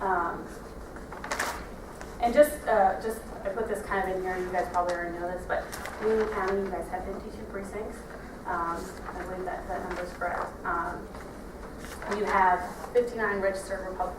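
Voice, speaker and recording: A woman's voice: fairly low pitch, background noise. Young woman with a Wisconsin accent, echoey room. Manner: halting, many fillers and restarts. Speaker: party trainer. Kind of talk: training presentation. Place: meeting room. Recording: echoey room, mic far off.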